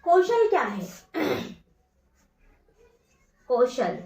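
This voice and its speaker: A woman's voice: high-pitched, huge pitch swings, very slow, gravelly, darkish tone. A woman teacher dictating exam revision notes, repeating each phrase word by word and asking herself questions, then answering them. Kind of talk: slow dictation-style lesson. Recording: echoey room, voice from far off.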